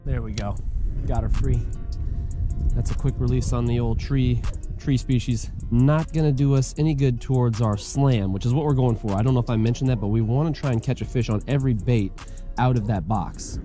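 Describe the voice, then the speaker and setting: A man's voice: mid-range voice, fairly quick. A man with an American accent hosting a casual outdoor fishing vlog, chatting to viewers in colloquial, folksy slang. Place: outdoors by a pond. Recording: music laid over speech. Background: music.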